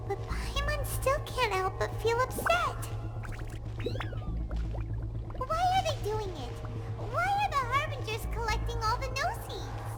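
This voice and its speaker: A woman voicing a small, excitable, anxious childlike fairy companion with a squeaky voice in a video game. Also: heavily acted. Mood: upset, puzzled. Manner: refers to self in third person, exclamatory. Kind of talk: video game cartoon voice acting. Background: game music.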